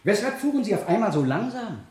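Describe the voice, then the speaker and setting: An actor playing a stern, sardonic prosecutor in a German radio play, cross-examining with precise, insistent, pointed questions. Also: male voice, high voice, sing-song, quick pace, darkish tone. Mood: pointed, insinuating. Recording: roomy 1970 studio radio play sound.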